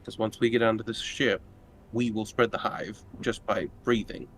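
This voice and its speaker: A man's voice: fairly low pitch, little pitch movement, moderate pace, a little hiss or hum. A man playing a tabletop roleplaying game, moving between casual game talk and speaking for his character.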